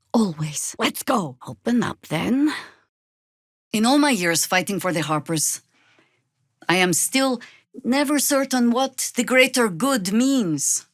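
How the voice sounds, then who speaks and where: A woman voicing a battle-weary, middle-aged druid in a fantasy video game, dry and wry, speaking in measured, formal phrases. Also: mid-range voice, fairly bright tone, no echo. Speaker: video game companion character.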